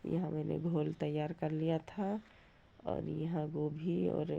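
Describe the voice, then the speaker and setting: A woman with a hoarse voice from a cold, giving a plain, rambling, casual voice-over for a home cooking vlog. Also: low-pitched, little pitch movement, takes it slowly. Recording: dull lo-fi sound, light background noise.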